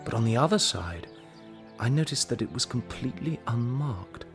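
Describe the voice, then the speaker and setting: A man playing an earnest, courteous young Victorian gentleman with an English accent, in a period radio drama. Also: mid-range voice, lively intonation, moderate pace, over music, close to an everyday voice. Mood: grave, reflective. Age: young adult. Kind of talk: period drama acting with measured first-person narration.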